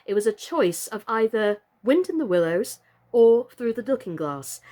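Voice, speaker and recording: A woman reading and presenting stories for children, expressive and addressing her listeners directly. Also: on the high side. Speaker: children's story reader and online storytime presenter. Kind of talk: storybook narration and friendly presenting to children.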